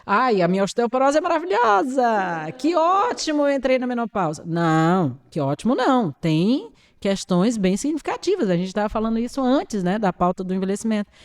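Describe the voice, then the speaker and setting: A woman's voice: a little high, sing-song, a little fast, few pauses. A woman, an occupational therapist answering interview questions in an earnest, emphatic, explanatory way.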